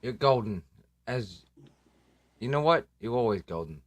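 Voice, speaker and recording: A man's voice: medium pitch, lively intonation, a little slow, no room sound. A man hosting a casual live stream, joking and self-deprecating, swearing now and then. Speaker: livestream host. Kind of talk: casual livestream chat.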